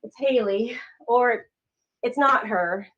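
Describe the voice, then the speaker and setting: Woman acting a high-pitched, frightened young psychiatric patient. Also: fairly bright tone, breathy edge, dull audio, heavily acted. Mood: fearful. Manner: halting, stammering, pleading. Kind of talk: dramatic table read of a screenplay.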